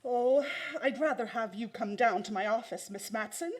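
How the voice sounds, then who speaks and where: A woman's voice: fairly high pitch, normal speed, a little mellow, lightly acted. A woman playing a prim, worried department store executive in a comic radio drama, stiffly polite and hesitant. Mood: discreet, uneasy.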